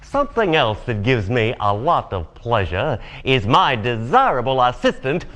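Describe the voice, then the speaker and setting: An actor playing a smug, cynical, wisecracking host of a brutal futuristic game show in a video game. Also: male voice, medium pitch, big pitch swings, moderate pace, a bit bright. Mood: smug, mocking. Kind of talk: over-the-top TV game show presenting.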